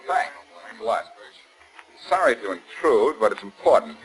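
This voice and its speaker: An actor playing a brash, confident amateur sleuth in 1940s radio style, blunt and insistent. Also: male voice, mid-range voice, lively intonation, moderate pace, lightly acted, poor audio. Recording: 1940s radio broadcast recording.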